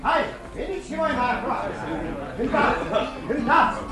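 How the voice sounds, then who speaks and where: An actor playing a dissolute, self-loathing husband in radio theatre, halting and repeating words theatrically. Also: male voice, extremely high pitch, animated, over a noisy crowd. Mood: agitated, insistent. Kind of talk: mid-20th-century radio theatre acting. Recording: old radio drama, music behind.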